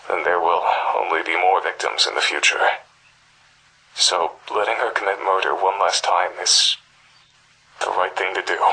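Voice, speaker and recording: Game role: a low-voiced, very husky middle-aged reporter, brooding and afraid, confessing onto a cassette. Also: male voice, full character voice, rough recording. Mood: grim resolve. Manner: grave, brooding, breathy half-whispers. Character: haunted, fearful middle-aged male journalist and father. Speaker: voice actor in a game's audio tapes. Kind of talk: dramatic scripted monologue on cassette tape. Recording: sharp, gritty cassette-tape voice recording.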